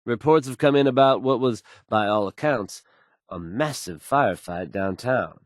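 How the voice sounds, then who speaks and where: An actor playing a confident radio DJ who reads post-apocalyptic news bulletins in an assured, measured, folksy announcer manner. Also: male voice, mid-range voice, no room sound, clean studio sound, close to an everyday voice. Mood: measured, serious. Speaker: radio DJ and newsreader.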